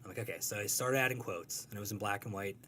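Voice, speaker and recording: A man's voice: lowish voice, fast talker, sharp tone. Man, young adult, comic strip creator, casual interview guest, rambling, self-deprecating, faint background hum.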